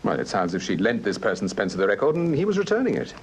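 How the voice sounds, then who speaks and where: Urbane British gentleman sleuth, lively, slightly husky delivery, probing yet relaxed, for radio detective drama. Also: on the low side, very fast, heavily acted. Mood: curious, probing. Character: suave, inquisitive gentleman detective. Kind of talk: full-cast radio crime drama acting.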